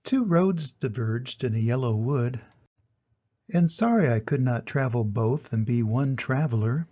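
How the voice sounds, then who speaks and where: A man's voice: medium pitch, expressive, measured pace, mellow, dull audio. An older man, a grandfather, reading and explaining to young children in a plainspoken, direct way, on a home recording.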